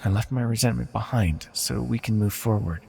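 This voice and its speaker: Man acting a gravelly, condescending immortal villain sorcerer. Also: fairly low pitch, normal speed, noisy background. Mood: tender, possessive. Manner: soft-spoken, condescending, theatrically formal. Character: immortal banished villain sorcerer, male, condescending, possessively tender. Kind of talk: ASMR fantasy audio roleplay monologue. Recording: long hall-like reverb.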